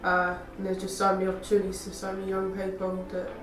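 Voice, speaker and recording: A woman's voice: medium pitch, completely flat delivery, quick pace. A nineteen-year-old woman, a youth work apprentice, answering interview questions casually with a lot of filler words. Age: young adult. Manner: casual, lots of "like". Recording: roomy, mic far off, faint music.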